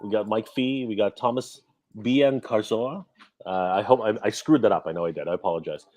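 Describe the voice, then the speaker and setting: A man co-hosting a live show in casual chat, excitable, often repeating and tripping over his words. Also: lowish voice, brisk, no echo. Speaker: guest co-host.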